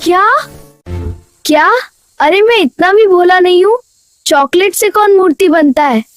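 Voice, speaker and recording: A woman's voice: very high-pitched, measured pace, no echo, studio quality, faint music behind. A woman voicing a small, curious and cheeky boy in a cartoon story, exclamatory and wide-eyed. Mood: disbelieving. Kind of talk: Hindi cartoon story acting.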